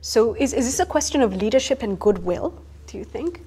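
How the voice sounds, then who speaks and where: A woman in her thirties hosting a studio interview, asking quick, conversational questions on a lapel mic. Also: a little high, animated, dark tone, slightly rough. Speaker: talk show host. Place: indoor studio set, seated in wicker chair.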